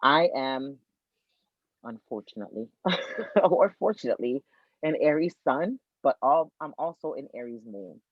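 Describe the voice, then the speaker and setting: A man from Miami, Florida, an astrologer guest on a podcast, explaining birth charts in an energetic, teacherly way and repeating his key points. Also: medium pitch, measured pace, dry recording. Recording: tinny dry phone-like line.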